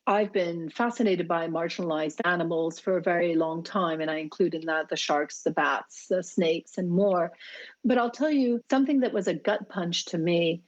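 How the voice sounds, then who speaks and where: A woman being interviewed, telling personal stories in an earnest, vivid and deliberate way. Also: lowish voice, dull audio. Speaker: interview guest, shark conservationist. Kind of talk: reflective personal storytelling.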